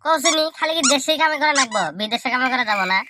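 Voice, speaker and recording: High-pitched, whiny cartoon village prankster. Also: male voice, very noisy. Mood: whining, aggrieved. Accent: rural regional Bengali. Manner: exaggerated, grumbling, slangy, with drawn-out wails. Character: cheeky, jobless young village prankster, self-pitying and whiny. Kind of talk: comic cartoon monologue. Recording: loud music under the voice. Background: loud music.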